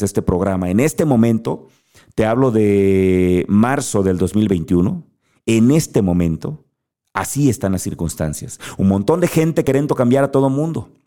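A man's voice: mid-range voice, warm tone. A Mexican man hosting a podcast, giving an earnest motivational monologue straight to the listener. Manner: earnest, speaks directly to the listener. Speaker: podcast host.

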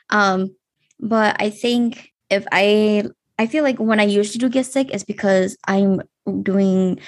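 Gravelly-voiced woman podcast host. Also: mid-range voice. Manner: welcoming, checks in on her co-hosts. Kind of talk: casual podcast chat.

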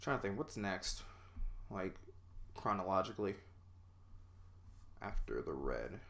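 A man talks through his own podcast in a loose, rambling way, full of ums and likes and little self-deprecating asides. His voice sits low but has a bright, forceful edge. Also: big pitch swings, unhurried, creaky. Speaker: podcast host. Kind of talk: casual solo podcast monologue.

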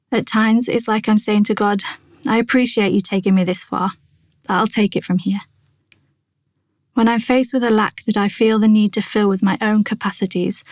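A woman reading a scripted devotional in a measured, reflective manner. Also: medium pitch, no room sound, studio quality. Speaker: devotional reader.

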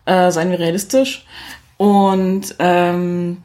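A woman's voice: lowish voice, level tone, extremely slow, warm tone. A woman co-hosting a podcast and chatting casually about films. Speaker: podcast co-host reviewing films. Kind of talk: casual film review chat.

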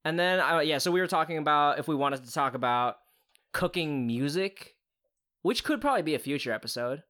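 A woman's voice: low-pitched, animated, a little fast, fairly bright tone. A young woman co-hosting a podcast in casual chat, rambling through stories in a laid-back way. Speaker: podcast co-host.

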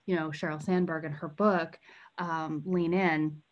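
A woman in her thirties with an American accent, speaking in an animated, informal way as a guest in a casual interview. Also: sits low, unhurried, muffled recording. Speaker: podcast guest, sales coach.

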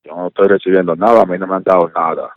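A man's voice: sits low, fairly even intonation, very fast, no echo. An older man with a Dominican Spanish accent, defensive and indignant, repeating himself in an agitated conversation over a phone line. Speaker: prank call target. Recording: tinny narrowband phone line.

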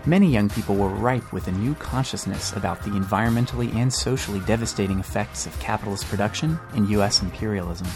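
A man's voice: on the low side, fast, few pauses. Man narrating over music. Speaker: podcast narrator. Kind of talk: scripted documentary narration.

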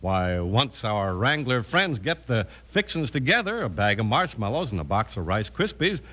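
An actor playing an easygoing American ranch hand in a vintage Western radio commercial. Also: male voice, medium pitch, very animated, moderate pace, pushed and bright. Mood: calming, upbeat.

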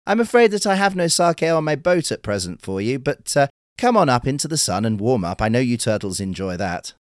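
A man's voice: medium pitch, big pitch swings, brisk, dry recording, clean studio sound, pitched above the performer's own voice, close to an everyday voice. A man voicing a humble, curious fisherman in a storybook tale, speaking earnestly and modestly. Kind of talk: storybook character voice.

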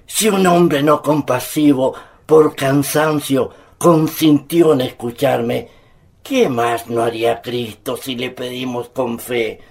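A man's voice: medium pitch, fairly even intonation. An actor playing a devout elderly grandfather in a radio drama, speaking earnestly and patiently like someone recounting a cherished memory. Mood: moved, fervent. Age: older. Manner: earnest, hesitant openings, measured storytelling. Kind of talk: Spanish-language radio drama acting.

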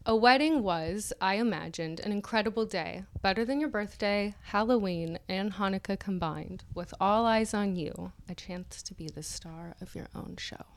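A woman on a chatty podcast reads an essay aloud with theatrical emphasis. Her voice sits at an ordinary middle pitch, and between passages she slips into loose, joking conversation with her co-host. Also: normal speed, few pauses. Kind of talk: dramatic reading of an essay with casual asides.

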